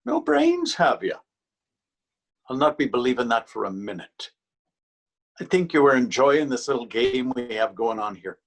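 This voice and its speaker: A man's voice: mid-range voice, sing-song, normal speed, long pauses. Man acting a stern, menacing policeman. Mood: condescending. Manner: stern, threatening. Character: gruff, menacing police officer, proud and easily insulted. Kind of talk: readers theater stage acting.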